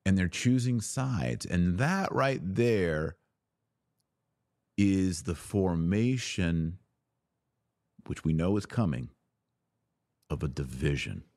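A man hosting a religious news podcast, commenting and reading aloud with deliberate, emphatic phrasing and drawn-out words. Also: sits low, lively intonation, darkish tone, no echo, clean studio sound. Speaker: podcast host.

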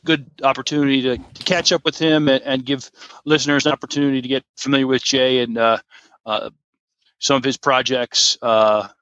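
A male podcast co-host with a bright, mid-pitched voice, talking casually and haltingly. The recording is dry and a little dull. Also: no room sound. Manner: halting, hesitant delivery. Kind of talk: casual chat.

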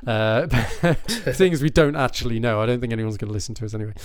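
A man's voice: medium pitch, fast. A man from Newcastle co-hosting a casual whisky podcast, talking in a chatty, rambling way with plenty of fillers. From Newcastle, England. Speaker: podcast co-host.

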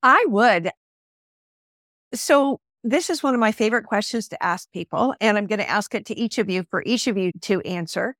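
A woman co-hosting an interview, asking questions in an animated, friendly way. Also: mid-range voice, normal speed, no room sound, studio quality. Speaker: interview co-host.

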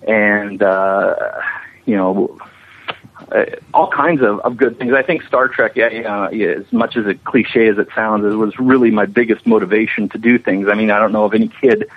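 A man's voice: fairly low pitch, steady tone, moderate pace. A middle-aged man chatting casually as an interview guest, rambling along with self-deprecating jokes. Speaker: interview guest, special effects animator. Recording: narrow-band phone or internet call.

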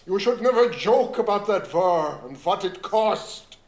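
Slow, stern in echoey room. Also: male voice, high-pitched, lively intonation, a little mellow. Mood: stern, indignant. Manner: slow, formal, clipped. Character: stern, pompous German businessman, easily offended.

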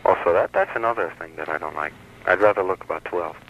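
Teenage boy caller with a low voice, over the phone. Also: fast, slight background noise. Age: teens. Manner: hesitant, giggly, soft-spoken. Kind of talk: casual call-in radio chat. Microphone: telephone. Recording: tinny telephone line on lo-fi radio.

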